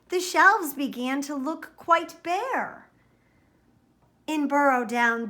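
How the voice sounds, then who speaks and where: Hohe Frauenstimme liest langsam ein Bilderbuch vor. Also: female voice, high voice, pushed and bright. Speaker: storybook reader. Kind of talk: expressive children's picture book read-aloud.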